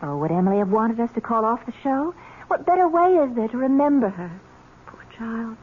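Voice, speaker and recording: An actress playing a breezy, bossy rich young fiancée in a 1970s radio drama, teasing and insistent. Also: female voice, fairly high pitch, very animated, brisk. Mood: chatty. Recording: tinny, noisy old radio recording.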